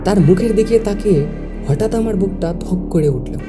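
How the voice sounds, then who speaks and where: A woman's voice: mid-range voice, animated, moderate pace. A woman reading a scripted first-person horror story as its narrator. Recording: music behind the voice. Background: music.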